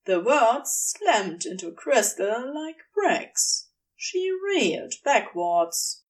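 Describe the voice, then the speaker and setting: A woman's voice: on the high side, big pitch swings, very slow, far from the mic, rough recording. A woman reading fiction aloud as a scripted story narrator. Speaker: audiobook narrator.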